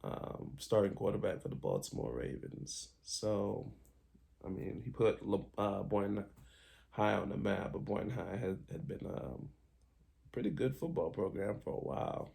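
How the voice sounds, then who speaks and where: A man's voice: lowish voice, very animated, normal speed, vocal fry, mellow. A young man from Haiti telling his own life story in a candid, reflective way, with many ums and restarts. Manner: frequent ums, candid, self-correcting.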